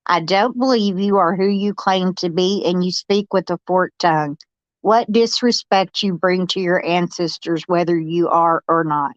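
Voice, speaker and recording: A woman of medium pitch reads aloud as a co-host. Her delivery is deliberate, like someone reciting written comments. The recording is slightly dull and very dry. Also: no room sound. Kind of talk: reading viewer comments aloud.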